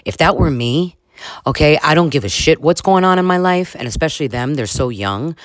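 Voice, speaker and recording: A man's voice: fairly high pitch, lively intonation, brisk, a bit bright, no echo. A man hosting a comedic reaction video, trading sarcastic asides with little chuckles.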